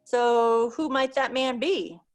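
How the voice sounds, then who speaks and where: A woman's voice: on the high side, slow, soft background music. A woman reading the lines of a friendly American male bartender in his thirties, chatty and a little cagey.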